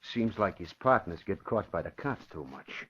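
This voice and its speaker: An actor playing an insistent, nervy gangster's lieutenant in an old radio crime drama, talking in tough underworld slang. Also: male voice, sits low, animated, moderate pace, fairly bright tone, breathy edge, full character voice. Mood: insistent, wary. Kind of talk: 1940s radio crime acting. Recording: dull vintage radio recording.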